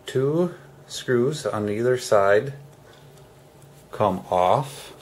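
A man giving a casual hands-on how-to, speaking haltingly in short phrases with pauses mid-sentence, as host of a DIY tutorial. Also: medium pitch, lively intonation, a little hiss or hum.

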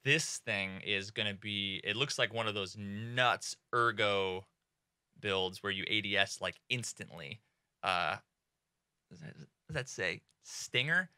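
A young man with a bright, sharp, rather low voice chats about game gear from a small home streaming room. He talks excitably, drops swear words and fills pauses with "uh". The sound is close and dry at a desk mic. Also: smooth, no room sound. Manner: excitable, swears freely, many fillers. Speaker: gaming streamer. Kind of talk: casual gaming commentary.

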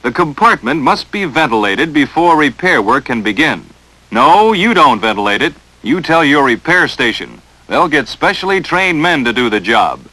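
A man's voice: fairly high pitch, big pitch swings, moderate pace, sharp tone, no echo, rough recording. An American man narrating a 1940s instructional film in a stern, emphatic, dramatic coaching manner, on a vintage film soundtrack.

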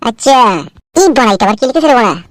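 A woman's voice: fairly high pitch, animated, very fast, background noise, lightly acted. A woman doing a clownish, nosy cartoon husband. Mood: curious, muttering. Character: clownish, nosy husband puzzling over a newspaper. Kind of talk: cartoon comedy dialogue.

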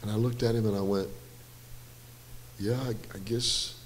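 Hoarse older man telling stories in church. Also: fairly low pitch, moderate pace, a little hiss or hum. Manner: relaxed, rambling storyteller with self-deprecating asides. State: slightly hoarse. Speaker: guest musician and speaker. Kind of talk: church storytelling testimony. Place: church stage, large indoor room. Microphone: handheld mic. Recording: reverberant church hall with faint music.

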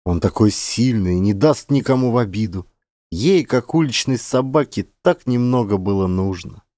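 A man's voice: fairly low pitch, lively intonation, moderate pace, dark tone, no room sound. A man narrating an audiobook as an actor, with theatrical, emphatic storytelling. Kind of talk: dramatic scripted narration.

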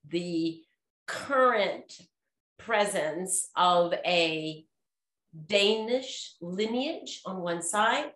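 Sixties lady talking slow and careful, dry little jokes, echoey living room. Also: mid-range voice. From Costa Rica. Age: 60s. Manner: slow, deliberate and gently humorous. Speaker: panel guest. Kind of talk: reflective conversation. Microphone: studio mic on a desk stand.

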